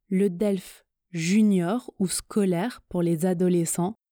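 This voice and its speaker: A woman from France hosting a podcast lesson, speaking clearly and encouragingly to her listeners. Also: medium pitch, mellow, no echo, clean studio sound. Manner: slow, clear and encouraging, addressing listeners directly. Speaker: French teacher and podcast host.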